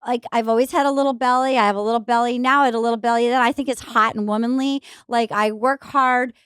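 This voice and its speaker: High-voiced, chatty woman. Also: fast, smooth, no echo, clean studio sound. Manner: animated, candid, rambling with many restarts. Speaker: guest comedian. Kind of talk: casual podcast chat.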